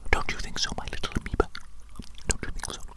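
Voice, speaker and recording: Whispering woman as a grandiose mad scientist. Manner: theatrical, repeats phrases slowly. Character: grandiose evil mad scientist doctor, scheming and self-important. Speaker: ASMR performer. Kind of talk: whispered ASMR roleplay monologue as evil doctor.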